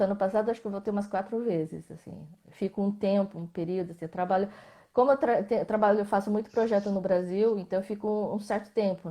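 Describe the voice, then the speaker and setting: A Brazilian woman talks over a video call with a mellow, warm voice. She is reflective and unhurried in her thinking, often ending her sentences with a questioning tag and letting her voice fade away at the end of her thoughts. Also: medium pitch. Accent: Brazilian Portuguese. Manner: reflective, frequent tag questions, trailing off softly. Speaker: visual artist guest. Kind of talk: live online interview.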